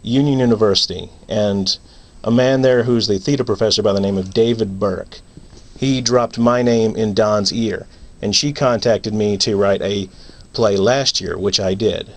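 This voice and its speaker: A man's voice: lowish voice, normal speed. A man talking as a guest playwright in a casual radio interview.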